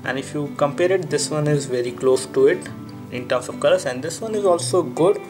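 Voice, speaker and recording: A man's voice: on the high side, fairly quick, music in the background. A man giving an unscripted product comparison review, explaining and thinking aloud in a repetitive way as he demonstrates. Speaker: tech reviewer and presenter.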